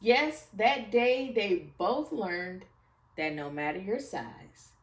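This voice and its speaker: A woman reads a children's storybook aloud with very lively, swooping intonation. She takes her time over the lines in a slightly high voice. The room is echoey and she sits well back from the mic. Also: slow pace, recorded from a distance, slight background noise. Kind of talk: expressive children's picture-book read-aloud.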